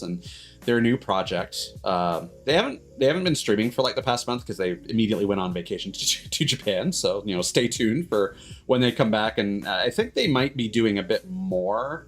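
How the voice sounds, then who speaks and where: A man hosting a livestream in casual chat, rambling and haltingly backtracking mid-sentence. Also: lowish voice. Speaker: streamer host. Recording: music playing behind the voice. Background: background music.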